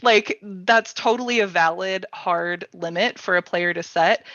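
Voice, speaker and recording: A woman hosting a casual panel discussion, thinking aloud informally in halting phrases. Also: lowish voice, sharp tone. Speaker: workshop host and panelist. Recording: roomy, slightly dull sound.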